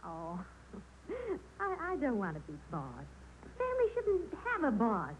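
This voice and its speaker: Casting: wry American wife, patient and sensible, lively highish voice, vintage radio sitcom. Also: female voice, unhurried, exaggerated voice. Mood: reasonable. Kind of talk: 1940s radio comedy acting. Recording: noisy old radio broadcast recording.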